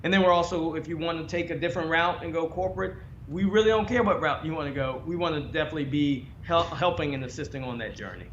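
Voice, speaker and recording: Corporate exec guy, smooth n measured, bit high-pitched, muffled echoey call w faint hiss. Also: fairly bright tone. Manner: polished, measured corporate speaker. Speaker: bank executive guest. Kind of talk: panel answer. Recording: roomy, dull lo-fi call with faint noise.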